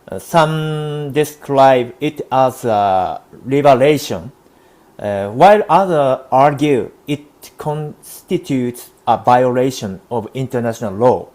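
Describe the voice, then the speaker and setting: A man's voice: mid-range voice, animated, slow, darkish tone. A man interviewing a guest, asking questions hesitantly with many fillers.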